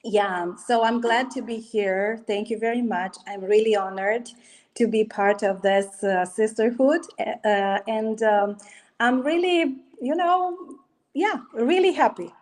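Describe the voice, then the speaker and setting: A woman speaks English as an in a fairly high voice. She talks hesitantly, searching for words with plenty of fillers, and laughs easily as an interview guest. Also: slow, darkish tone. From Romania. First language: Romanian. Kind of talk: casual interview.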